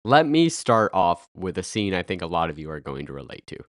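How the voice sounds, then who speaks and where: A man in his thirties hosting a podcast, speaking with a raspy, nasal voice from a head cold, earnest with bursts of playful energy. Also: sits low, very animated, brisk, no echo, studio quality. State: congested with a cold, stuffy and nasal. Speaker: podcast host. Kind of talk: scripted podcast monologue.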